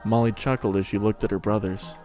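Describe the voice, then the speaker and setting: A man's voice: fairly low pitch, fairly even intonation, fairly quick, darkish tone, soft background music. A man reading scripted fiction as a narrator in a dramatic reading.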